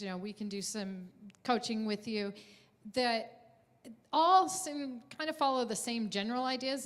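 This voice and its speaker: Encouraging woman leading a workshop. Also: on the high side. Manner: encouraging, motivational. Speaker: workshop presenter. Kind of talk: conference workshop talk.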